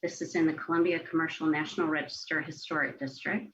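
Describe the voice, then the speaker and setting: A woman's voice: low voice, little pitch movement, quick pace, a bit bright. A woman reading agenda items aloud in a formal manner as a meeting staffer. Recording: dull, slightly noisy meeting audio.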